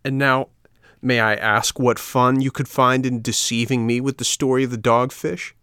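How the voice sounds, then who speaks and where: A man's voice: medium pitch, normal speed, dry recording, clean studio sound, close to an everyday voice. A man voicing a young wooden puppet boy in a storybook, indignant and cheeky, with a questioning, defiant manner.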